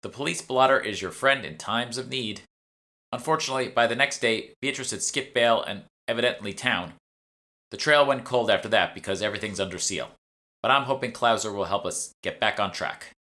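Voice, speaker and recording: A man's voice: fairly low pitch, fairly quick, sharp tone. A man voicing a bossy, curt, sardonic female guild fixer in an audiobook. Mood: impatient, knowing. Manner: curt, impatient, know-it-all.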